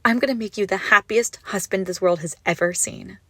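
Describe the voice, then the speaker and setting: A woman playing an obsessive, gushing yandere kidnapper in a roleplay audio drama, menacingly sweet, with breathy, doting coos. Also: medium pitch, fairly quick, fairly bright tone, no echo, lightly acted. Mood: lovestruck, possessive. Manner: breathy doting coos, often dropping to whispers. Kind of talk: roleplay audio drama, intimate monologue to listener.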